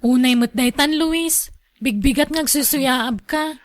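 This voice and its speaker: Casting: actress as practical Ilocano wife, high voice, dry close sound, earnest radio drama delivery. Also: female voice, measured pace, no room sound. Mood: scolding. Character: practical, scolding wife and caring daughter.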